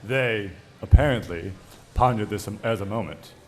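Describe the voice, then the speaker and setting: A man's voice: on the low side, normal speed. A man reading stage directions as narrator of a scripted comedy table read. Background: music.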